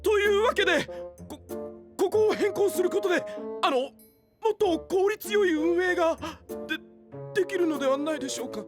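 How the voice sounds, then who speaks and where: A woman's voice: extremely high pitch, brisk. A woman playing a timid, earnest young subordinate who speaks politely and hesitantly in an anime voice drama. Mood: nervous. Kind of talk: Japanese anime voice drama acting. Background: background music.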